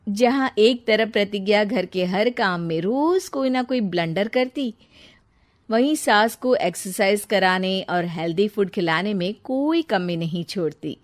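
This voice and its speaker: A woman's voice: mid-range voice, lively intonation, measured pace. A woman narrating a scripted story as a storyteller.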